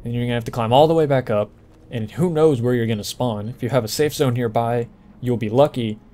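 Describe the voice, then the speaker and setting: A man's voice: medium pitch, brisk, mellow. Casual man giving game walkthrough commentary. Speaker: gameplay walkthrough host. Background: video game ambience.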